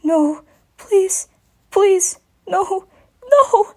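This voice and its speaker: Woman voicing a shrill, crying baby dragon boy. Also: expressive, very slow, full character voice. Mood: pleading, panicked. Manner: pleading, desperate. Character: panicked young male baby dragon crying in his sleep.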